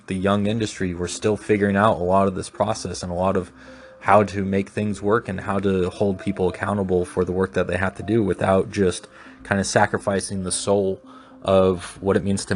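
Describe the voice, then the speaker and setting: A man's voice: low-pitched, little pitch movement, normal speed, a little hiss or hum. A man hosting his own solo podcast, talking reflectively and rambling a little with restarts. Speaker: podcast host.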